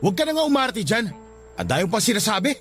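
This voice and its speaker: A man's voice: high voice, very animated, fast talker, a bit bright. A man playing a jealous, controlling young boyfriend, curt and scornful, with a Filipino accent in a radio drama. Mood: angry, jealous. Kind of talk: Tagalog radio drama acting. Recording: music bed behind the voice.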